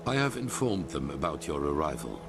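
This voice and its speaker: An actor playing a solemn, imperious older leader of a religious order in a fantasy game, speaking in a measured, formal way. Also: male voice, very deep voice, very animated, creaky, heavily acted. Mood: solemn, persuasive. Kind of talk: scripted fantasy video game dialogue. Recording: loud music behind.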